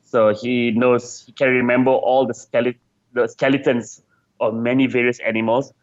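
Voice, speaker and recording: A man's voice: mid-range voice, level tone, moderate pace, dull audio. A man being interviewed as a game designer, talking casually and chattily, often repeating words as he searches for phrasing.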